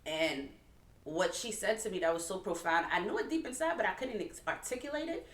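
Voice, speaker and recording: A woman with a Haitian accent and a slight lisp, co-hosting a casual chat and telling stories in an animated way. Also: mid-range voice, brisk. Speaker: co-host. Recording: echoey room from far off.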